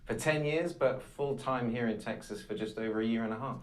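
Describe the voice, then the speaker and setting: A man's voice: mid-range voice, brisk. A man in his thirties with an English accent, fluently answering interview questions as a guest. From England. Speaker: guest, content creator.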